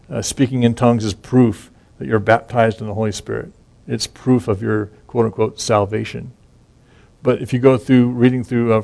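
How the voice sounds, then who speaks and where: A man's voice: medium pitch, vocal fry, darkish tone. A man teaching from scripture in an informal, conversational way, reading verses aloud and explaining them with frequent restarts and asides. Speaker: Bible teacher.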